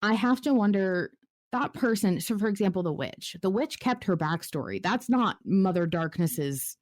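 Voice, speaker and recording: A woman's voice: mid-range voice, animated, normal speed, a little mellow, no room sound, studio quality. A woman hosting a podcast with casual, playful and wry banter. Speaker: podcast host.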